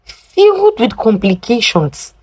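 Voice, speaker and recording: A woman telling a folktale in a dramatic, deliberate, emotive way with drawn-out pauses. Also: a little high, wildly swooping pitch, darkish tone, breathy edge. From Nigeria. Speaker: storyteller. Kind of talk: dramatic oral folktale narration.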